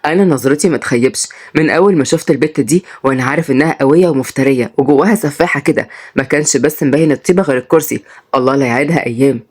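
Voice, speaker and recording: A man's voice: mid-range voice, very fast, darkish tone, slightly rough, pitched below the performer's own voice, lightly acted. A woman voicing a teasing but tender husband in an Egyptian Arabic story, with an Egyptian accent. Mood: teasing, scolding. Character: adult husband, teasing, tender, reformed rich man turned mechanic.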